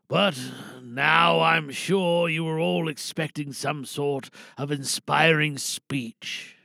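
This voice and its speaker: A man playing a weary, resolute older insurance whistleblower, giving a dramatic speech to a crowd with deliberate pauses. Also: fairly high pitch, creaky, sharp tone, no room sound, clean studio sound, heavily acted. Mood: hesitant, grave.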